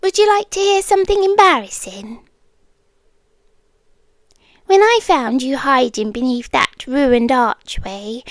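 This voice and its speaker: An obsessive, possessive monster girl played by a woman speaks in a high British voice, very close and dry. She takes her time, choosing formal words and often sinking into a whisper. It is an intimate ASMR roleplay monologue with a yandere temper. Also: no echo. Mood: wry, intimate. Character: obsessive yandere female monster with tail, claws and venom.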